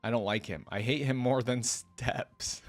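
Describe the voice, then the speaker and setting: A man's voice: medium pitch, measured pace, no echo, natural voice. A man voicing a vain, playful, easily distracted birdlike battle dancer in a flippant first-person story reading. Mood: boastful, bored.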